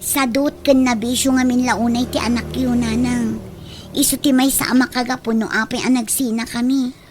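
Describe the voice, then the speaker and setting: A woman's voice: fairly high pitch, fairly even intonation, normal speed, a little hiss or hum, close to an everyday voice. An actress playing an earnest, determined young mother in a radio drama, pleading with a Filipino accent. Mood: resigned, determined.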